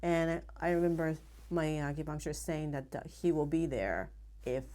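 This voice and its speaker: A middle-aged woman from Japan with a Japanese accent, a pediatric acupuncturist telling her story in a measured, earnest way with frequent pauses. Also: deep voice, mellow. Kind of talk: personal story told to camera.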